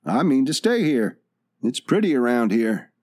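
A man's voice: medium pitch, big pitch swings, normal speed, darkish tone, lightly acted. A man playing a proud, stubborn male drifter in a storybook, dryly polite but defiant. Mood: unbothered, stubborn.

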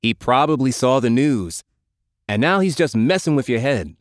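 A man's voice: medium pitch, animated, moderate pace, no echo, clean studio sound, lightly acted. A man voicing a cool, aloof, self-interested young gang leader in an audiobook. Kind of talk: audiobook character dialogue.

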